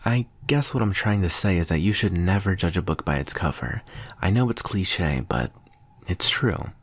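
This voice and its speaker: Man acting as a smug vampire teacher, low-pitched, condescending, teasing, measured pauses, close dry sound, ASMR roleplay. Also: expressive, no room sound. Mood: frank, reassuring. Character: smug, amused male vampire teacher lecturing a student.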